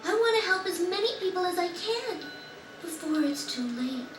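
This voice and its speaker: A woman playing a gentle, earnest young girl, sad but resolute, in a video game dub. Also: very high-pitched, lively intonation, normal speed, crowd noise. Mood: wistful, resolute. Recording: roomy game audio, distant, music over it.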